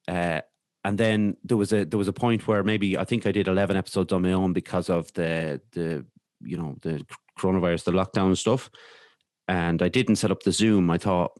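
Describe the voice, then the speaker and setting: An Irish man speaking candidly as a podcast guest, in a hesitant, halting way with repeated words. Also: sits low, a little mellow, no room sound, studio quality. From Ireland. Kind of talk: candid conversational interview.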